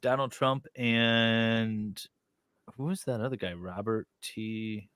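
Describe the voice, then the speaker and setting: A man's voice: lowish voice, steady tone, slow pace, fairly bright tone, smooth, no echo. A man in casual chat as host, reading a web page aloud and adding sarcastic, mocking commentary.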